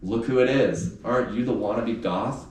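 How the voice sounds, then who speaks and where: A man's voice: lowish voice, moderate pace, natural voice. A man voicing a mocking teenage boy bully in a novel read aloud, taunting and jeering. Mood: sneering. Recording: echoey room, distant mic, faint noise.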